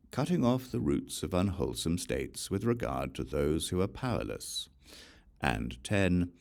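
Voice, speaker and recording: A man narrates a scripture audiobook in a deep voice with a gravelly creak. His delivery is deliberate and measured, pausing between phrases, while his pitch moves widely up and down. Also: darkish tone. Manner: measured, enumerating deliberately with pauses. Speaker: audiobook reader.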